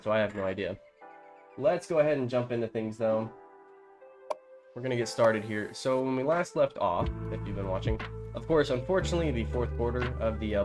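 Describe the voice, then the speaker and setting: Man, stuffy nose, lower voice, laid-back game streamer, background music. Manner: relaxed, rambling, many fillers. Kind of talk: casual live-stream commentary on a football video game. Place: home streaming setup with green screen.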